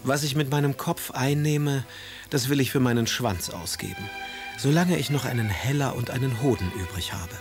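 An actor playing a witty, melancholy young poet in a radio drama, reading letters and verse in an ironic, theatrical manner. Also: male voice, medium pitch, moderate pace, music in the background, close to an everyday voice. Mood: ironic, arch.

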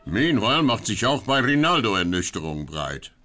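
A man's voice: fairly low pitch, big pitch swings, normal speed, sharp tone, soft background music. A man narrating a scripted radio play about robbers in a wry, storytelling manner. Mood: wry, declamatory.

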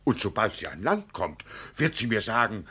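An actor playing a doting old country father in a radio play, dreamy and playfully boastful, with a husky voice and a singsong manner. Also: fairly low pitch, sing-song, sharp tone, exaggerated voice. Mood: fond, dreamy. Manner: slow, singsong, savouring his words. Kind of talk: German radio play acting.

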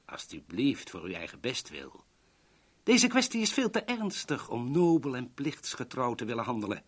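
An oily, self-important go-between in an old radio play. His intonation swoops widely, and his slightly husky voice lays on elaborate courtesy, wheedling in a theatrical, florid way. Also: medium pitch, very animated, normal speed. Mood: pleading, baffled. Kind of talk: 1970s Dutch radio drama acting.

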